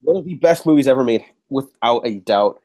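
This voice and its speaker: A man's voice: mid-range voice, expressive, brisk, warm tone. Nasal man hosting a movie podcast. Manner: chatty, restarts, casual swearing. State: getting over a cold, a bit nasal. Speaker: podcast host. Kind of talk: casual movie podcast chat.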